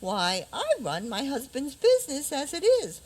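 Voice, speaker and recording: A woman's voice: high-pitched, sing-song, normal speed, husky, full character voice. A woman acting a dreamy yet bold fairy-tale princess in a community radio play, with a theatrical delivery. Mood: dreamy, excited.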